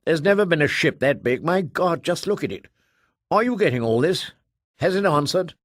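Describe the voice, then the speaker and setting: A man's voice: on the high side, very animated, normal speed, creaky, no room sound, studio quality, pitched above the performer's own voice, full character voice. A man voicing a brash young woman commander. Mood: alarmed. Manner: urgent, threatening commands. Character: young female starship commander, brash and alarmed.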